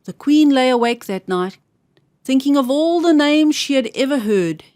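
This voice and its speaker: High, very animated woman storyteller. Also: moderate pace, no room sound, studio quality. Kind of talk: scripted fairy-tale narration for children.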